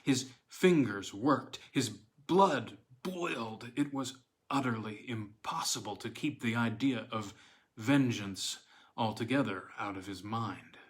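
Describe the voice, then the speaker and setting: A man reading a classic horror story aloud as audiobook narrator, in a measured, deliberate way with dramatic pauses. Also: medium pitch, fairly bright tone.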